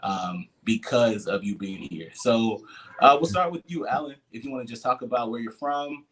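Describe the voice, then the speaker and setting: A man hosting an interview, speaking haltingly with many pauses. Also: mid-range voice, fairly bright tone, poor audio. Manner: halting, with many ums and restarts. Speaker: host. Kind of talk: interview host.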